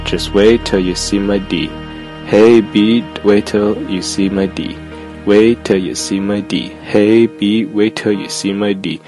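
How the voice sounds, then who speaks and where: A man giving a deadpan dramatic reading of rap lyrics, reciting them like plain speech. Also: fairly low pitch. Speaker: podcast co-host. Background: music behind the voice.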